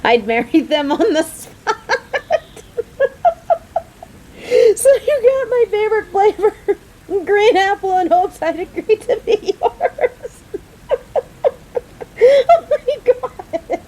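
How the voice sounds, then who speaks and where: A woman's voice: extremely high pitch, big pitch swings, takes it slowly, long pauses, mellow, exaggerated voice, low-quality recording. A woman playing a caring, motherly female coworker who frets over the person close to her, earnest and affectionate in a romantic audio roleplay. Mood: overjoyed, tearful. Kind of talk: scripted romantic audio roleplay spoken to the listener.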